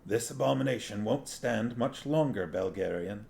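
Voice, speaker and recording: A man's voice: on the low side, normal speed, creaky, darkish tone. A man voicing a stern, commanding disembodied spirit that speaks sharply and with authority in a fantasy storybook reading. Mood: grim, assuring.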